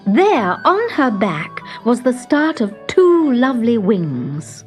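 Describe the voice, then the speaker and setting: Slow, expressive woman storyteller. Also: a little high, faint music behind. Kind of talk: scripted children's story narration.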